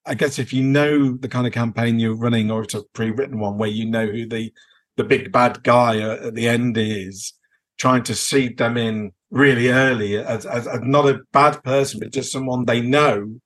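A man's voice: mid-range voice, steady tone, normal speed. A man chatting casually as a podcast host, tentative and repeating words as he thinks. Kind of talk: casual unscripted chat.

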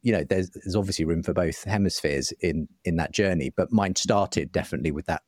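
Low-pitched British man, dry close sound. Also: brisk, no room sound. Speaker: guest, writer and broadcaster. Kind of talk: conversational interview answers.

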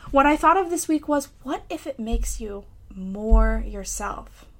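A woman's voice: a little high, expressive, unhurried, fairly bright tone. A woman reading her own personal essay aloud for a podcast, reflective and candid, with a lightly self-amused, conversational touch. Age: adult parent of a young child. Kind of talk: personal essay read aloud with casual asides.

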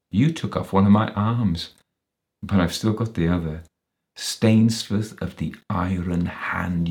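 A man's voice: sits low, gravelly, darkish tone, full character voice. A man reading a story, voicing a crafty, menacing ex-policeman villain, bitter and self-pitying, who sneers and taunts. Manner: sneering, taunting, with sudden rises and whines.

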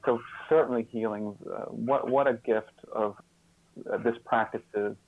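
A fifty-year-old man speaking as an interview guest, reflective and earnest, often halting and repeating words as he thinks. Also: mid-range voice, fairly even intonation, no echo, phone-quality sound.

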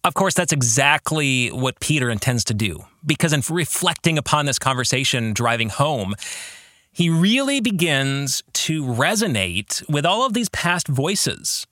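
A man's voice: fairly high pitch, very animated, unhurried, bright, forceful tone, no room sound, studio quality. A man hosting a reflective faith book discussion, earnest, putting questions to the listener. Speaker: podcast host and book author leading a study.

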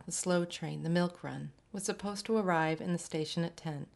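A woman's voice: deep voice, darkish tone. A woman reading a short story aloud as scripted literary narration.

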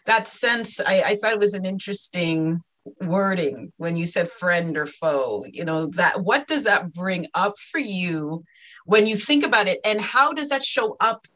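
Female podcast co-host; unhurried, probing interviewer. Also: mid-range voice, lively intonation, a bit bright. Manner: thoughtful, probing questions.

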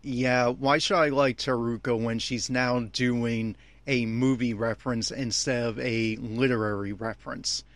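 A man giving opinionated, complaining episode commentary as a host, with emphatic, halting phrasing. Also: mid-range voice, fairly even intonation, dry recording. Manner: exasperated, complaining. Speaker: fan podcast host.

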